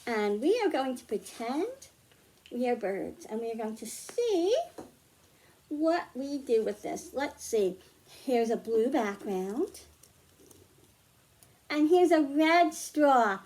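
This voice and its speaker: A woman's voice: on the high side, expressive, slow pace, long pauses, darkish tone, slight background noise. A woman presenting a science storytime for young children, explaining simply and putting questions to her listeners. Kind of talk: storytime read-aloud and hands-on lesson for young children.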